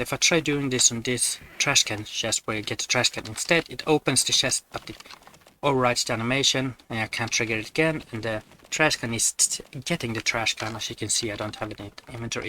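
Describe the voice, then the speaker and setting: A man's voice: medium pitch, pushed and bright, a little hiss or hum, poor audio. A man giving matter-of-fact, step-by-step commentary on video game glitches over his gameplay. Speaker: gamer presenting glitches.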